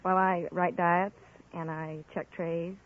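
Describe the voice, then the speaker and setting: A woman's voice: on the low side, level tone, slow. An American woman from San Francisco answering as a quiz show contestant in an earnest, straightforward way. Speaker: quiz show contestant, hospital diet worker. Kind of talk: conversational interview answers. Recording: old radio broadcast, tinny, faint noise.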